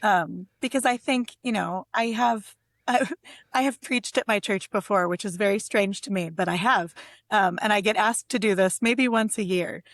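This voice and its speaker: A woman's voice: mid-range voice, moderate pace, no room sound. Woman poet in casual conversation. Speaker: guest poet.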